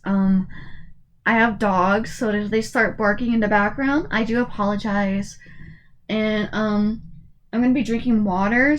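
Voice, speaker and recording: A woman's voice: on the high side, rough recording. A woman in her twenties talking casually and hesitantly, with many fillers and restarts, her voice going in and out. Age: 20s. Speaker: YouTuber. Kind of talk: casual tier-list commentary.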